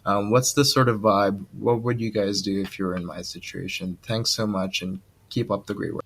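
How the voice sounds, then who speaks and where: An eager man rambles through his question with frequent ums, his voice sitting a little low. He sounds keen to get back to training. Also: steady tone, moderate pace, vocal fry, a bit bright, a little hiss or hum. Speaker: caller. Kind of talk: recorded voice question.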